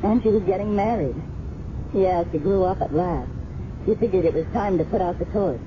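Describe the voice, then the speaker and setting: An actress as a shaken American woman in a seventies radio play, speaking of her murdered roommate. She is candid and confiding, with some hesitation. The sound is dull and clearly noisy. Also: lowish voice, normal speed, lightly acted. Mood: shaken, wistful. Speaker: radio drama actor. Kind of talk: 1970s radio drama acting. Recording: muffled, noisy 1970s radio broadcast recording.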